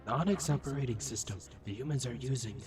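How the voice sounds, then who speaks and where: A man's voice: medium pitch, quick pace, lightly acted. A man voicing a nervous, flippant little robot scout in a comic dub, hesitant and informal in his talk. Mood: hesitant, earnest. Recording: music behind the voice.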